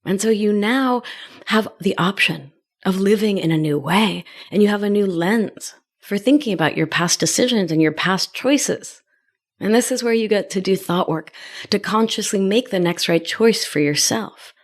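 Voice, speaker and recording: A woman's voice: mid-range voice, moderate pace. A middle-aged woman talks straight to her listeners the way a coach would. She is playful and affectionate, with pet names and little nerdy asides along the way. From Argentina. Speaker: podcast host and life coach. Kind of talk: warm coaching monologue.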